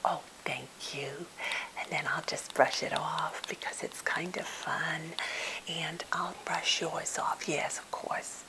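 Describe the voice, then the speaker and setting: A woman playing a timid, slightly odd captive who is eager to please, speaking in a halting, gentle, polite whisper for an ASMR role play. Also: low-pitched, fairly even intonation, heavily acted, low-quality recording. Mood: soothing, fussy. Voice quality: breathy whisper with fry.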